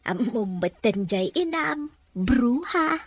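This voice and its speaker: Cheeky older Filipino housemaid, radio soap, high and unhurried, gossipy and loyal. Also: female voice, lively intonation, a little hiss or hum. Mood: gossiping. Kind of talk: comic Filipino radio drama acting.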